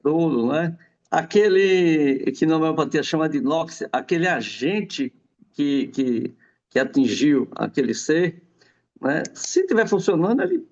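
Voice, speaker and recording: Guy with a gravelly voice going on and on explaining stuff, keeps asking right?, dull lo-fi audio. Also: on the high side, a little mellow. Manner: rambling, many tag questions. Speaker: guest explaining homeopathy. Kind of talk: casual explanatory chat. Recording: dull lo-fi sound.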